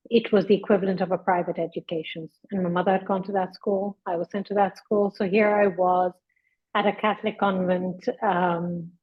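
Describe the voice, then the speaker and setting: A woman in her fifties, from London by birth and raised in India, talks through her life with calm, measured reflection. Her voice has a slightly husky grain. The remote recording sounds dull and lo-fi. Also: lowish voice. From born in London, raised in India. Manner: measured, thoughtful, frequent ums. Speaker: guest, memoir author. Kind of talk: reflective personal storytelling.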